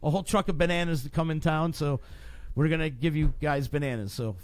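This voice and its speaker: A man's voice: on the high side, a little fast. A man hosting a radio show, laid-back and laughing along with his guest in a casual interview. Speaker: radio host. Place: radio studio.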